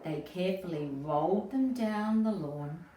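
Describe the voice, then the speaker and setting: A woman's voice: on the low side, lively intonation, a little slow, mellow. A woman reading a children's storybook aloud expressively, as an educator talking to young listeners and putting questions to them. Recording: roomy echo, distant mic, faint noise.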